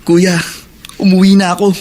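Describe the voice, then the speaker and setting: A man's voice: high voice, monotone, moderate pace, dark tone, close to an everyday voice. An actor playing an eager, devoted young man freed from prison, with a Filipino accent and an earnest, pleading radio drama delivery. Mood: excited, hopeful.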